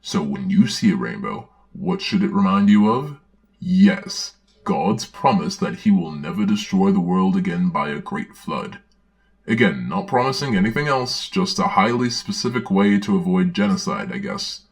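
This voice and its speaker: A man playing a droll villainous dark lord who reads a storybook aloud with deadpan, sardonic commentary. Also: sits low, moderate pace. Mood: deadpan mockery. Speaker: host and narrator. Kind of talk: dramatic reading with sardonic commentary.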